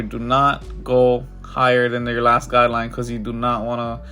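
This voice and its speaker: A man's voice: mid-range voice, a bit bright. Congested man narrating a barber tutorial. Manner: casual, loose, filler-heavy walkthrough. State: congested from a cold.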